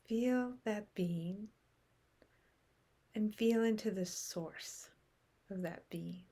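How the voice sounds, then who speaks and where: A woman leading a guided meditation in short, unhurried phrases with long pauses, softly trailing into breath at the ends. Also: medium pitch, slightly rough. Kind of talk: guided meditation, unscripted and contemplative.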